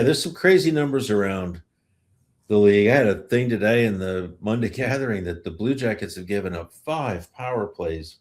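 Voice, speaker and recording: A man with a low voice hosts a casual sports podcast. He talks informally, in a halting way, restarting thoughts as he goes. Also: darkish tone.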